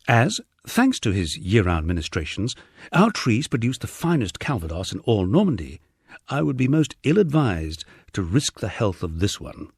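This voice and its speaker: Male audiobook narrator, lowish husky voice with an animated, expressive read. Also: no room sound, clean studio sound. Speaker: audiobook reader. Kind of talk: scripted audiobook narration of a memoir.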